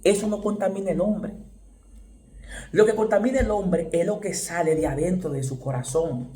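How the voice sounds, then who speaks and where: Male preacher, Dominican accent, fairly high voice, fervent sermon, emphatic repetition, sudden shouted bursts. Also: moderate pace, roomy sound. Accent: Dominican Spanish. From Dominican Republic. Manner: repeats words and phrases for stress.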